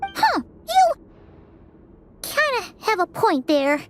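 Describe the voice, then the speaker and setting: Tiny, very high-pitched excitable sprite. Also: female voice, slow pace, slight background noise, full character voice. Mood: startled. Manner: chirpy, exclamatory. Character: tiny childlike female sprite companion, curious, excitable. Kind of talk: video game voice acting.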